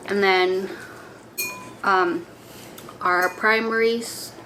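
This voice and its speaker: A woman's voice: mid-range voice, low-quality recording. Young woman, chatty, laughs easily, mid-range voice, faint background noise. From grew up in Indonesia, born in the US. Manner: chatty, lively, quick laughs. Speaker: co-host. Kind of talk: casual chat while eating.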